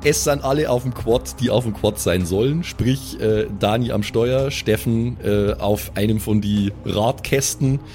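A man narrating as game master of an improvised tabletop audio drama, descriptive, pausing between phrases. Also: on the low side, darkish tone. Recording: music bed behind the voice.

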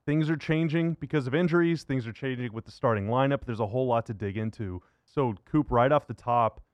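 A man's voice: medium pitch, brisk, no echo, lo-fi sound. Guy hosting a sports podcast, chatty and casual, very dry close audio. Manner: casual, conversational. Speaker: sports radio and podcast host. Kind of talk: upbeat show intro and basketball interview.

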